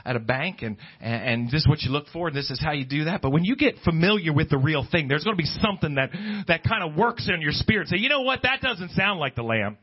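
A man's voice: on the high side, lively intonation, fast talker, few pauses, slightly rough. A man preaching a conversational, off-the-cuff sermon, emphatic and full of rhetorical questions and quotations. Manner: emphatic, rhetorical questions, quotes scripture and imagined objectors. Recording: dull, lo-fi sermon recording.

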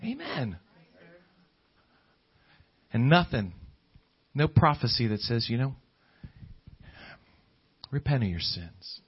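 A man preaching an evangelical sermon, exhorting earnestly with drawn-out emphatic phrases and repetition. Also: medium pitch, sing-song, slightly rough. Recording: dull lo-fi audio under loud guitar music. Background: loud plucked guitar music.

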